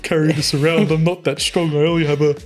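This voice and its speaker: A man with a slightly high voice plays a tabletop game. He speaks in an offhand, conversational way, thinking aloud as a player. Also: flat delivery, quick pace. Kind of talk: casual table talk.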